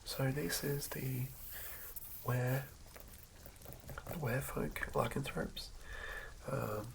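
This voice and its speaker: A man reading in a hushed, breathy ASMR whisper with a halting, measured delivery. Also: mid-range voice, background noise. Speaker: host of an ASMR channel. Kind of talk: ASMR reading of game rulebook.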